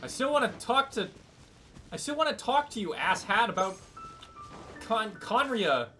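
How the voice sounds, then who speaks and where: A streamer chats casually through a game playthrough in a mid-pitched voice that moves around freely. The delivery is offhand and sweary, with muttered reactions, over faint game music. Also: sing-song, moderate pace, bright, forceful tone. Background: video game music.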